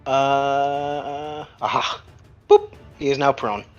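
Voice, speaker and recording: A man's voice: medium pitch, fairly even intonation, very slow, muffled recording. A man casually narrating and refereeing a tabletop roleplaying game as game master. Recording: music playing behind, limited band. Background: background music.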